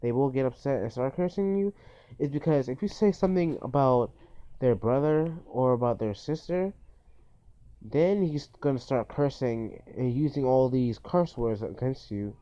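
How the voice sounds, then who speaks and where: Male video host, dark mellow tone, earnest informal advice, dull lo-fi phone sound. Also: mid-range voice. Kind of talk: informal list-style advice monologue.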